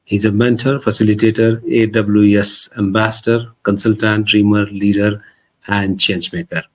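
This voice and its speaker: A man's voice: lowish voice, flat delivery, normal speed. A man hosting a podcast, formally introducing and questioning his guest. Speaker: podcast host. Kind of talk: podcast interview.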